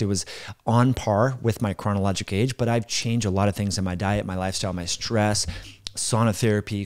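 A man in his forties hosting a health channel, explaining a study to camera in a brisk, earnest way and listing points, on a close studio mic at home. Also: on the low side, a little mellow. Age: 40s. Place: indoor home room. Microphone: studio mic on boom arm, close.

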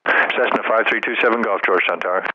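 A man working as an air traffic controller, giving rapid clearances over aviation radio. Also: lowish voice, steady tone. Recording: muffled aviation radio with faint noise.

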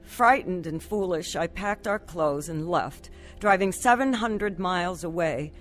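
A woman's voice: on the low side, animated, measured pace, faint music behind. An actress playing a troubled, despondent mother in her thirties, narrating and acting in an earnest radio drama style. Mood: somber, reflective.

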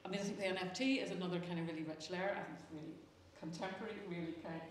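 A woman's voice: fairly low pitch, rapid-fire. A woman, an artist, speaking informally in a panel discussion. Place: indoor gallery event space. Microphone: distant camera. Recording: very echoey, noisy room from far off.

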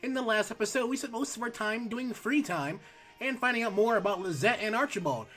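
A man hosting a let's play, reading game text aloud and chatting casually while his voice is going hoarse. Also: sits high, a little fast. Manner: reads on-screen text aloud, relaxed asides. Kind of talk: casual gameplay commentary.

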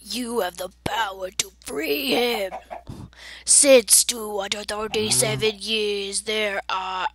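A woman voicing a solemn king from a video game in a creepy, breathy, drawn-out voice. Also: medium pitch, fairly even intonation, fairly bright tone. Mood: solemn, urging. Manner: slow, drawn-out, portentous. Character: solemn male king, creaky and slow-spoken. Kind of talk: video game dialogue read aloud.

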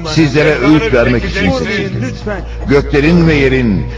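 A man voicing a solemn, devout prophet who preaches and warns in a grave, measured manner in a religious cartoon. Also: medium pitch, animated, close to an everyday voice. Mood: preaching. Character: solemn devout prophet warning his people, also narrating. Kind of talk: dramatic religious cartoon voice acting. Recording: dull lo-fi cartoon soundtrack with music.

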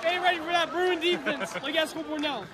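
A young man vlogging on his phone with friends, casual and excitable, shouting over a crowd at a basketball game. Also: very high voice, fast talker. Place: school gym, then packed basketball gymnasium. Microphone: phone held at arm's length. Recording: loud crowd noise in a gym.

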